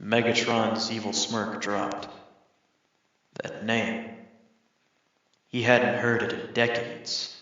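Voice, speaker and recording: A man's voice: fairly low pitch, little pitch movement, slow, long pauses, a bit bright. A man reading scripted third-person narration for an audio drama. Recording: very echoey, slightly muffled.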